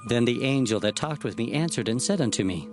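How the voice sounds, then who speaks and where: A man's voice: mid-range voice, animated, a little fast, darkish tone, lightly acted. A man playing an earnest, awed prophet who recounts his visions reverently and expressively as narrator of dramatized scripture. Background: faint music.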